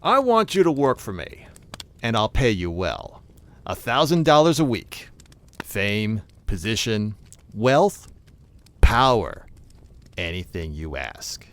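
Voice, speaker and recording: An actor playing a scheming, pompous crime boss with deliberate menace in an audio drama. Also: male voice, on the low side, very animated, a bit bright, full character voice. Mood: persuasive. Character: smooth, scheming male criminal mastermind. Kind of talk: audio drama villain acting.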